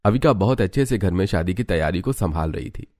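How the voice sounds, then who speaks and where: Low-pitched male story narrator. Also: expressive, a little fast, a little mellow, no echo, clean studio sound. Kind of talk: scripted cartoon story narration.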